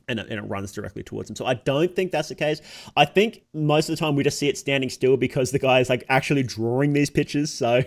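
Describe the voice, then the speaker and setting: Male livestream reactor, medium pitch. Casual, unscripted commentary, often questioning himself and reasoning things through aloud. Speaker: streamer reacting to horror videos.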